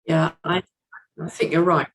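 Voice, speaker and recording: A woman speaking as a podcast guest in a measured, considered, plain-spoken conversational way about literature. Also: deep voice, level tone.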